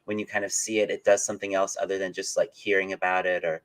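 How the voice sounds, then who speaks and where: The man running a relaxed online livestream interview, easing guests into long, loosely worded questions. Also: deep voice, fast talker. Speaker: interviewer, channel host. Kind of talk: casual live interview.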